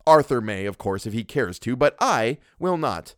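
A man's voice: medium pitch, animated, normal speed, a bit bright, no echo, natural voice. Guy reading a prim stubborn young man, grim and firm, clean dry sound.

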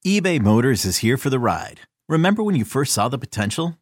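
A man's voice: medium pitch, big pitch swings, a little fast. A man reading a scripted commercial in a punchy, playful sales manner. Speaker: commercial announcer. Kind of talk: scripted ad read.

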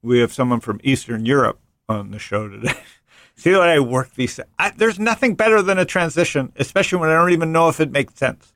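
A man hosting a relaxed finance podcast, joking and wandering off into quick asides. His voice sits in a middle range and sounds close and dry, with no room around it. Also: medium pitch, no echo. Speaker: podcast host and venture investor. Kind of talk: casual finance podcast chat.

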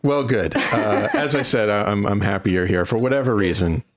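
A man's voice: fairly low pitch, moderate pace. A man hosting a podcast in a playful way, sometimes slipping into mock-dramatic speech. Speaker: podcast host. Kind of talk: casual podcast chat.

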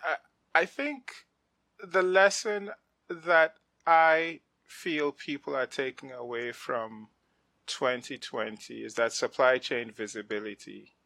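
A middle-aged man from West Africa, a venture investor speaking as a guest in a casual, animated interview, often restarting his sentences. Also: mid-range voice, takes it slowly, vocal fry, no echo. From West Africa (Ghana, Nigeria). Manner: animated, frequent restarts and laughs. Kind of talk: conversational interview.

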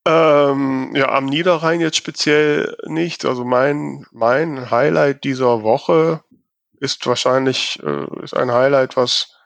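A man's voice: medium pitch, steady tone, takes it slowly, gravelly, no room sound. A man hosting a casual podcast conversation, often hesitating and searching for his words. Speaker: podcast host.

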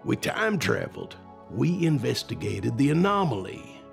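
An actor playing an earnest, steadfast military general with a gravelly voice in an audio drama. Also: male voice, medium pitch, huge pitch swings, moderate pace, faint music behind, heavily acted. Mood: earnest, firm.

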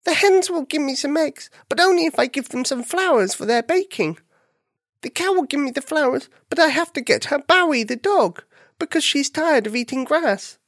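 Woman voicing a high, anxious little boy bunny. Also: lively intonation, dry recording, clean studio sound. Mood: wailing, upset. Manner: rushed, wailing, pleading. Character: small young male bunny, earnest, anxious, easily upset. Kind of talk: children's storybook character voice.

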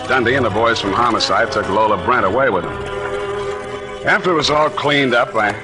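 A man's voice: medium pitch, fairly quick, over music, close to an everyday voice. An actor playing a wry, slangy private detective who narrates his own case in a 1940s radio drama. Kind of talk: 1940s radio acting and first-person narration.

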